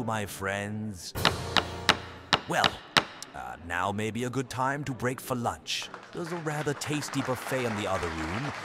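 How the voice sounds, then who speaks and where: An actor playing a smug, coolly menacing world president, pompous and measured, in an audio drama. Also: male voice, medium pitch, heavy background noise, lightly acted. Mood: pompous contempt. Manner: measured, pompous, darkly casual. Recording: loud music and crowd behind.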